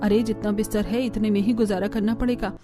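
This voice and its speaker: A woman's voice: mid-range voice, steady tone, fast, music in the background, natural voice. A woman voicing a scolding older village mother-in-law who is protective and exasperated, in a family cartoon. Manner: scolding, exasperated, rustic idioms. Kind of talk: Hindi family cartoon voice acting.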